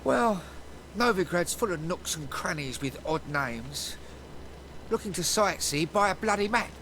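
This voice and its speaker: A high-pitched street beggar in a fantasy game, plaintive and surly by turns. There is clear street noise behind him. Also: male voice, animated, measured pace, fairly bright tone, full character voice. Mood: surly, dismissive. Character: wheedling, cynical crippled beggar man in a medieval city. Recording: noisy game street ambience.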